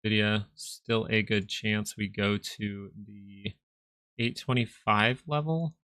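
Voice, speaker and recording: A man hosting a casual live stock market stream, talking viewers through charts in a relaxed, conversational way. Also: fairly low pitch, takes it slowly, dry recording. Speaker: stock market livestream host.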